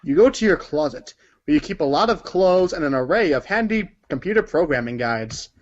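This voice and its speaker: A man's voice: a little high, lively intonation, brisk, muffled recording. A man narrating a comic read-along story in the second person.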